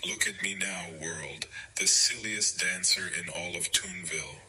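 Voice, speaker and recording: A man's voice: low voice, a little slow, pushed and bright, husky, full character voice, low-quality recording. A man voicing a silly, chubby, show-off clown cat in a children's storybook. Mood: playful, showy.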